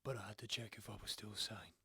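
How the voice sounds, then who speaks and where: An actor playing a tense, defeated boss with a gravelly, husky voice in an audio drama, grim and defensive. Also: male voice, fairly low pitch, steady tone, fast talker, bright, forceful tone, no echo, heavily acted. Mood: stern resignation. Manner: grim, resigned, sternly defensive. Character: tense, defeated male boss guarding a terrible secret.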